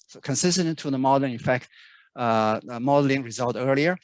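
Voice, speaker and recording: Halting man giving a research talk. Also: mid-range voice, dry recording. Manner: halting, many fillers and restarts. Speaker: researcher presenting. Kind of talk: conference talk. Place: remote video call.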